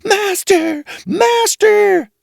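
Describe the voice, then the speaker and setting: A little enchanted golden harp from a fairy tale. It cries out in alarm in an extremely high, piercing falsetto, loud and shrill. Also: male voice, very slow, vocal fry, dry recording, full character voice.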